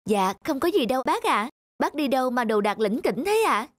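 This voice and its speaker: A woman's voice: high-pitched, big pitch swings, moderate pace. A woman voicing a polite, naive, earnest young man in a moral-tale cartoon. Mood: polite, hopeful. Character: earnest, polite young male high-school graduate.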